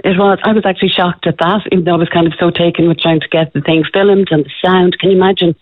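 A middle-aged Irish woman, a former television presenter, telling a lively story as a radio interview guest. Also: fairly low pitch, fast talker, husky, dry recording. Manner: breathless, excitable, addresses host by name. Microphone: telephone. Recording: tinny phone line.

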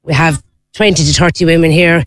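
A woman's voice: deep voice, steady tone, brisk, dry recording, studio quality. A woman with an Irish accent, a mother who plays on the team, talking with chatty enthusiasm. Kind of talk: casual interview.

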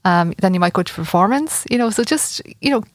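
A woman in her late forties with an Irish accent, a festival organiser talking as a guest in a casual interview, with many pauses and fillers. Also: medium pitch, lively intonation, no room sound, clean studio sound. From Ireland. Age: 40s. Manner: hesitant, many fillers and restarts. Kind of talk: conversational radio interview.

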